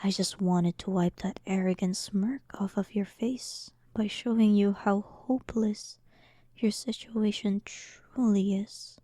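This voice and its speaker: A dominant demon queen, acted by a woman, speaks slowly and with soft, mellow menace. She is arrogant and sarcastic, toying with her listener in a roleplay audio drama, often sinking into breathy near-whispers. The recording is close and dry. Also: mid-range voice, steady tone, no echo. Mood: mocking, commanding. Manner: slow, dominant, teasing, condescending, often dropping toward a whisper.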